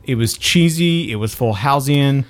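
A man's voice: mid-range voice, normal speed. A man hosting a podcast, talking casually as he discusses films. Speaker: podcast host reviewing films.

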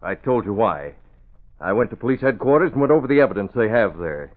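An actor playing a principled, composed newspaper publisher, firm and formal, in 1940s radio drama style. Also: male voice, fairly low pitch, big pitch swings, quick pace. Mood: firm, earnest. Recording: muffled old radio recording.

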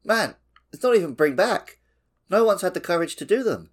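A man chatting casually as a podcast co-host, joking and getting animated in an informal way. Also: sits high, normal speed. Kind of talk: casual chat.

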